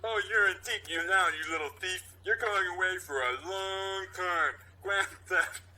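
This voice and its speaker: A man's voice: sits high, measured pace, sharp tone, a little hiss or hum, voice pushed up, full character voice, low-quality recording. A man putting on an angry, raised-voice cop. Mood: triumphant menace. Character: angry threatening male buddy cop, crude and indignant. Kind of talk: mock cop show vignette read on a podcast.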